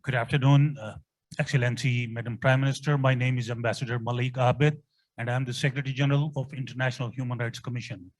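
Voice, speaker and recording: A man's voice: mid-range voice, level tone, fast talker. A man with a Pakistani accent speaking from the floor as a diplomat, earnest, courteous and formal. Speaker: audience questioner, diplomat.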